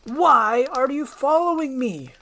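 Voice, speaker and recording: A woman voicing a grumpy, solitary male ogre with a gruff, curt and sarcastic manner. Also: high-pitched, expressive, takes it slowly, fairly bright tone, voice pushed up, exaggerated voice. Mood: annoyed. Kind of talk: amateur screenplay voice acting.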